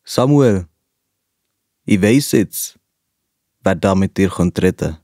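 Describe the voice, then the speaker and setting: Slow, mellow old priest. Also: male voice, sits low, lively intonation, no room sound, clean studio sound. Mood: kindly, instructive. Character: old priest Eli, patient, drowsy mentor. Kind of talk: children's Bible audio play acting.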